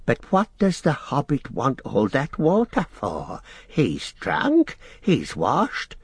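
A man voicing a wretched, wheedling creature with a thin, hissing, raspy voice, whining and repeating words in an audiobook. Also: medium pitch, huge pitch swings, takes it slowly, no room sound, pitched above the performer's own voice, heavily acted. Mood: grudging, wheedling. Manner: repeats words, whining, speaks of self in third person.

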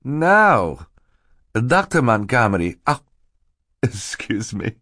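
A man's voice: lowish voice, big pitch swings, unhurried, a bit bright, dry recording, clean studio sound, voice pushed up, full character voice. A man narrating an audiobook, voicing a scheming villain who poses as a meek, fluttery, apologetic assistant. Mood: feigned sorrow. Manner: hissing menace switching to fluttery, falsely apologetic politeness. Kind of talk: audiobook character acting.

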